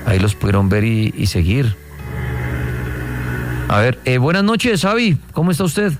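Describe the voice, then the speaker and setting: A man's voice: mid-range voice, normal speed, over music. A man hosting a call-in show, greeting the caller and prompting him with short, friendly lines. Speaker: radio show host.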